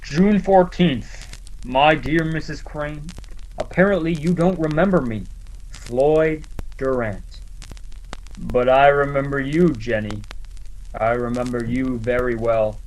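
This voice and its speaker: A man's voice: mid-range voice, darkish tone, natural voice. Man acting a menacing blackmailer, noisy recording. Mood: cool menace. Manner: deliberate, coolly threatening. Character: menacing male blackmailer, coolly taunting former store detective. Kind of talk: radio play acting, letter read in character.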